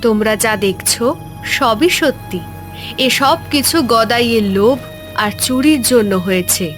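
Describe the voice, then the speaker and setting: A woman's voice: a little high, a little slow, a bit bright, soft background music. A woman voicing a wrathful magical swan maiden in a cartoon fairy tale, speaking in a stern, declamatory, menacing way. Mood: commanding.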